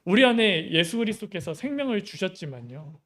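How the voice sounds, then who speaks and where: High-voiced man preaching conversationally. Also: very animated, fairly quick, fairly bright tone. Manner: conversational preaching with many rhetorical questions. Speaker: pastor. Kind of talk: sermon.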